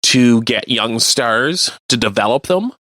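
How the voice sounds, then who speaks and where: A man co-hosting a radio show, chatting casually about sports and explaining things in a halting way. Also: mid-range voice, animated, sharp tone, no room sound. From Canada. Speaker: radio co-host.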